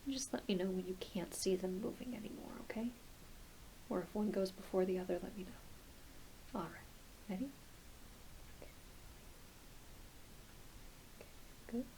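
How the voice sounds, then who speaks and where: A woman voicing a gentle, reassuring doctor in a soft, mostly whispered ASMR medical roleplay, murmuring encouragement. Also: mid-range voice, moderate pace, long pauses, lightly acted, poor audio. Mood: soothing, encouraging. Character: gentle, reassuring werewolf doctor giving an exam. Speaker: ASMR performer.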